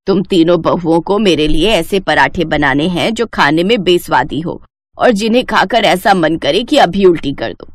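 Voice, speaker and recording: Woman voicing a low-pitched, commanding old mother-in-law. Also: dry recording, clean studio sound, lightly acted. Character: stern, shrewd elderly mother-in-law testing her daughters-in-law. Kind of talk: animated moral story dialogue.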